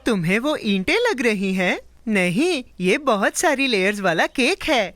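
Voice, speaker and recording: A woman voicing a cheerful, imaginative little boy in a children's cartoon dub, childlike and expressive. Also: fairly high pitch, a little slow, over music. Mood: playful, dreamy.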